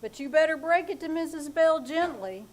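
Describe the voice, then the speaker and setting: A woman's voice: sits high, lively intonation, moderate pace. A woman playing a wry, sarcastic husband in a live reenactment of an old-time radio comedy, delivering dry quips. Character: wry husband Ace, a dry-witted man. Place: small theater stage.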